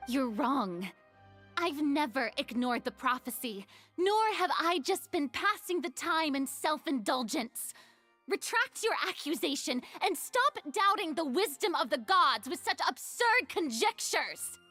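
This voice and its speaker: A woman's voice: high-pitched, expressive, a little slow, pushed and bright, heavily acted. An actress playing a proud, theatrical young female god in a video game, pompous and defensive, haughty yet insecure underneath. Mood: indignant, defensive. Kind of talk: dramatic video game voice acting. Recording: faint background music.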